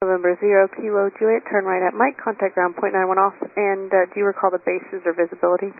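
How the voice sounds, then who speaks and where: A woman's voice: medium pitch, flat delivery, fast. A woman working as a tower controller, giving procedural instructions over air traffic radio. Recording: tinny, staticky tower radio.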